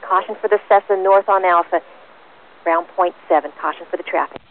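A woman's voice: lowish voice, fairly even intonation, brisk. A woman working as a tower controller, giving clipped, procedural instructions to pilots over aviation radio. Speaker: air traffic tower controller. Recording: tinny, narrowband aircraft radio transmission.

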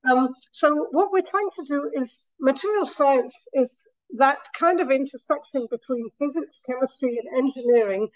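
A woman professor lectures on her research, her voice a touch scratchy and pitched fairly high. She explains patiently with many small restarts. The sound is dry and slightly dull. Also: normal speed, no echo. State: slightly scratchy voice after a week of talking. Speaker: materials science professor giving a talk. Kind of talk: academic lecture. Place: lecture room.

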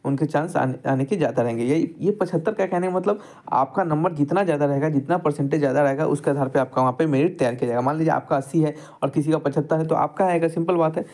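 A man's voice: a little high, fast, few pauses, darkish tone. A man tutoring students over a screen-recorded lesson, explaining step by step and addressing them directly. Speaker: exam tutor.

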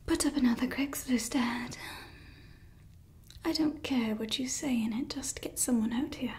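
A woman's voice: a little high, measured pace, darkish tone, natural voice. A young woman playing a grandiose evil woman scientist in an ASMR roleplay, whispering theatrically into a close mic in a small room. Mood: exasperated. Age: young adult. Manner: whispered, theatrical, self-important. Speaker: ASMR creator. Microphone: close mic at face level.

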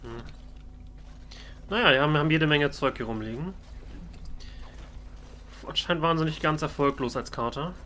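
A man's voice: fairly high pitch, moderate pace, long pauses, sharp tone, slight background noise. Man doing casual role-play game commentary, lightish voice. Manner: talks to game characters as if present. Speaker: let's player. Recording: voice over game music. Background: video game music and sounds.

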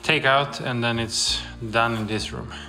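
Young man, casual vlogger, slow halting speech, mid-pitched voice, faint music behind. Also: fairly even intonation, pushed and bright. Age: young adult. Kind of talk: casual vlog narration.

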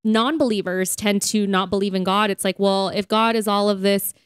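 A woman with a smooth, clear voice at an everyday pitch. She chats as a podcast co-host about faith, earnest and informal, often leaning on "like". The sound is dry. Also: steady tone, normal speed, no echo. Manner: conversational, earnest, filler-heavy with frequent "like". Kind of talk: casual faith podcast chat.

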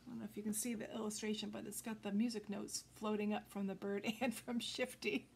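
An older woman reading a children's picture book aloud, expressive and addressing young listeners directly. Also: mid-range voice, fairly quick, gravelly. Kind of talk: children's read-aloud storytelling. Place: indoors before a green backdrop. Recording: noticeable background noise.

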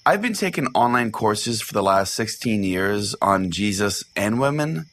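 A man's voice: fairly low pitch, big pitch swings, a little slow, fairly bright tone. A man hosting a comic call-in podcast in a mock-pompous, self-important manner. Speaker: podcast host.

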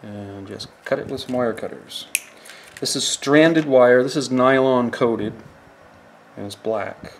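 Unhurried male instructor talking through a table-top demo, plain and practical. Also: mid-range voice, background noise. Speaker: fishing tackle instructor. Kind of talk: hands-on how-to demonstration. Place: indoors at a work table.

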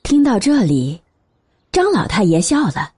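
Female narrator for serialized fiction: husky, mellow, lively intonation. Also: mid-range voice, measured pace. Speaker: audiobook narrator. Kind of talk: scripted storytelling narration.

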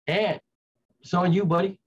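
A man's voice: sits high, fairly even intonation, moderate pace, breathy edge. Male interview host, casual and conversational, filler-prone, slightly lo-fi call audio. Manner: repeats "you know" as filler. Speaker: show host. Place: indoors on a video call.

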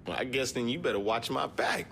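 A swaggering, crude gang lieutenant from a video game, with a lively voice that swings up and down. Clear street and vehicle noise rumbles behind him. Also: male voice, brisk. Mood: cocky.

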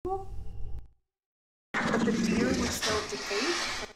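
Audio drama: actress as shaken female witness, high voice, roomy echo, eerie delivery. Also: lively intonation, extremely slow, very noisy. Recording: roomy, under loud music.

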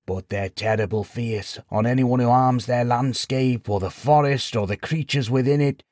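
A man voicing a kindly old village sage in a storybook, gently chiding and wry, explaining patiently in folksy rhetorical questions. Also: mid-range voice, moderate pace, dry recording, clean studio sound, natural voice. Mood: grave, admiring. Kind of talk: storybook character voice.

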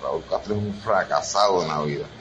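A man's voice: low voice, brisk, dull audio, background noise. A man mocking the emptiness of a hospital ward while filming it. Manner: scornful, mocking. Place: empty hospital ward indoors.

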